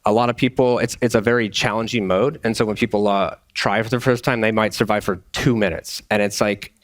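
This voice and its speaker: A low-voiced man, recorded dry and close, talks casually as a game developer on a panel. His phrasing is informal and conversational, peppered with "like". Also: fairly even intonation, fairly quick, no echo. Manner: informal, says "like" often.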